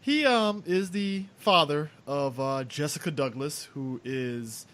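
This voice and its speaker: A man's voice: on the high side, slow, fairly bright tone. A man co-hosting a podcast in casual movie-review banter, rambling and leaning on fillers like "and stuff.". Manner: rambling, leans on fillers such as "and stuff" and "yeah, yeah". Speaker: podcast co-host.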